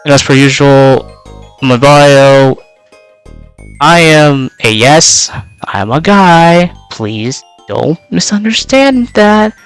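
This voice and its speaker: A man's voice: mid-range voice, clean tone. A young man, a native Vietnamese speaker, gives a casual in-character self-introduction as a VTuber. He rambles with many filler words, and his voice is slightly worn out.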